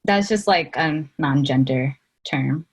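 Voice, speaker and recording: Young woman, college student from Spain, low voice, earnest, filler-heavy casual talk. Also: slow pace, husky. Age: young adult. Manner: earnest, uses many "like" fillers. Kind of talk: casual explanation.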